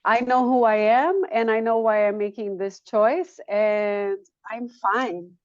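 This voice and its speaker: A woman's voice: fairly high pitch, steady tone, slow pace, a little mellow, dry recording, lo-fi sound. A woman from Brazil answering casually as an interview guest, matter-of-fact and repeating phrases for emphasis. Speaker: guest, yoga studio owner.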